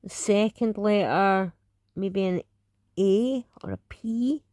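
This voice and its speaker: A woman's voice: mid-range voice, slow, darkish tone, no room sound, studio quality. A woman with an Edinburgh Scottish accent, chatting casually while reacting to a video, rambling with lots of fillers. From Scotland. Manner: rambling, many fillers and restarts.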